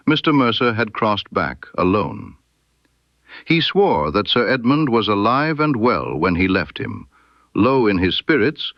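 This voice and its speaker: Deep-voiced man reading a literary mystery. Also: no echo, muffled recording. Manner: measured, formal storytelling delivery. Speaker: audiobook narrator. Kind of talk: scripted literary mystery narration in Victorian first person.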